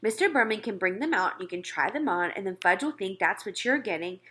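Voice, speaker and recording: A no-nonsense mother of young boys, played by a woman reading a storybook. Her voice is bright and swings widely in pitch as she firmly coaxes and reasons. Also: lively intonation, a little fast, natural voice. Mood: persuading. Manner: firm, coaxing, as if reasoning with a child.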